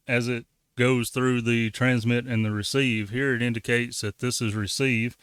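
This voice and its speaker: A man's voice: medium pitch, a bit bright, smooth, no room sound, studio quality. A man giving a casual, folksy how-to walkthrough as the host of a hobby tutorial. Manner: folksy, colloquial. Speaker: ham radio tutorial host.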